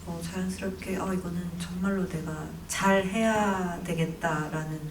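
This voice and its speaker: Reflective actress in an echoey cinema. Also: female voice, fairly low pitch, fairly even intonation, noisy background. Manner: reflective, halting, thoughtful. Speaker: actress guest. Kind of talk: film Q&A answer. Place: cinema hall. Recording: echoey cinema hall, mic from a distance.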